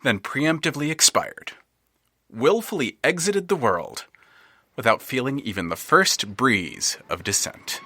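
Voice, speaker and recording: A man giving scripted narration for an audio drama, measured and wry, building tension in places. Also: medium pitch, expressive, pushed and bright.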